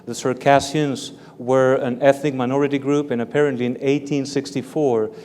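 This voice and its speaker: A man from Belgium, a native French speaker, answering interview questions as an author and professor, careful and measured, repeating words as he explains. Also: mid-range voice, little pitch movement, darkish tone. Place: large hall with live audience. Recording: long hall reverb with faint room noise.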